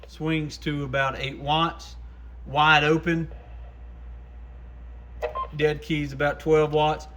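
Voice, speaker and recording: A man's voice: fairly high pitch, level tone, slow pace, pauses often, fairly bright tone, a little hiss or hum. A man presenting a casual, hands-on product demo, talking informally to viewers as a shop owner would. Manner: informal, addresses viewers as guys.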